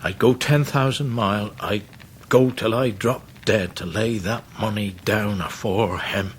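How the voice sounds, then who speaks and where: Humble old East Anglian fisherman, played by a man, low-voiced. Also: a little slow, a little hiss or hum, lightly acted. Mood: grave, determined. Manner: rustic dialect, plain-spoken and earnest. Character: humble, devoted old working-class fisherman searching for his niece. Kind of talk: full-cast radio drama acting.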